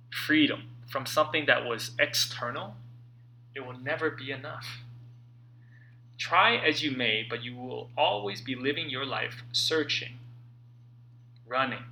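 Animated, very bright-voiced man reading motivationally. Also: medium pitch, gravelly, slight background noise, low-quality recording. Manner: earnest, emphatic, dramatic phrasing with pauses. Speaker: author reading own book. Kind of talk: expressive self-help audiobook narration.

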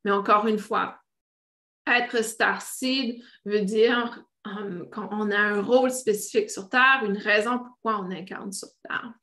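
A woman with a French Canadian accent speaks in a mid-range voice. She teaches live to an online audience without a script. Her phrasing is halting, as she searches for words and circles back over them. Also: medium pitch, steady tone, sharp tone. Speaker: spiritual teacher hosting a live stream. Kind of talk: unscripted live talk to online viewers.

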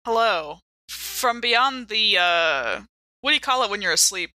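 A woman's voice: mid-range voice, animated, measured pace, bright, forceful tone, dry recording. A woman chatting casually and quippily as a podcast co-host. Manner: joking, quippy. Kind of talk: casual chat.

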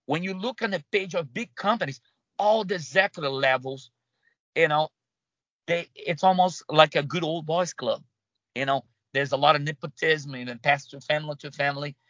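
Brazilian man, accented English, stop-start interview guest, higher voice, dry recording. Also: pushed and bright, dry recording, highs cut off. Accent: Brazilian Portuguese. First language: Portuguese. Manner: halting, many restarts and fillers. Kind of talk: conversational interview.

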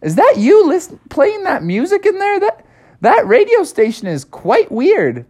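A woman's voice: very high voice, wildly swooping pitch, a little slow, darkish tone, pitched above the performer's own voice, full character voice. A woman voicing a fussy yet easily delighted mother frog in a chatty, exclamatory way. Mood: puzzled, mildly annoyed.

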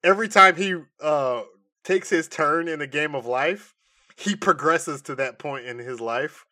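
A man co-hosting a podcast in casual chat, informal and often halting as he thinks aloud. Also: a little high, sharp tone. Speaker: podcast co-host. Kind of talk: casual chat, pitching comic movie ideas.

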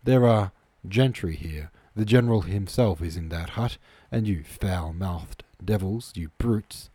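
A man's voice: low voice, a little slow, warm tone, breathy edge, dry recording. A man voicing an authoritative, bullying sergeant major in a storybook reading, scolding his men with commands. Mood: angry, commanding.

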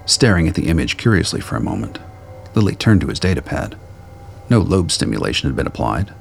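Very deep, gravelly male narrator. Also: sing-song, fairly quick, faint music behind. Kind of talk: scripted dramatic narration of a radio play.

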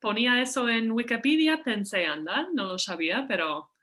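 Bilingual woman podcast host, higher voice. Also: expressive, normal speed, pushed and bright. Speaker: podcast host, former basketball player. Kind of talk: scripted intro then casual interview.

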